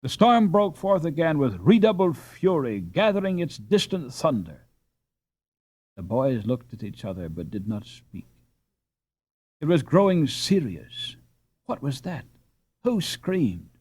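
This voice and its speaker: Slow, expressive male audiobook narrator. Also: fairly high pitch, slightly rough. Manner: expressive, dramatic reading. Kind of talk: scripted narration.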